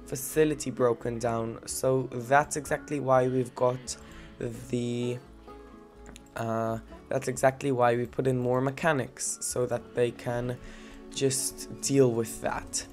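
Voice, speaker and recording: A man's voice: mid-range voice, little pitch movement, slow, a little mellow, faint music behind. A young man with a blocked, stuffy nose from a cold, giving rambling, giggly gameplay commentary. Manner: rambling, giggly, many fillers. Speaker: let's-play gamer.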